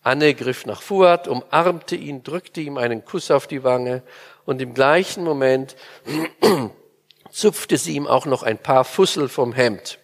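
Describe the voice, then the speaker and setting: A man calmly reading a novel aloud as an audiobook narrator, measured and even. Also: medium pitch, big-room sound.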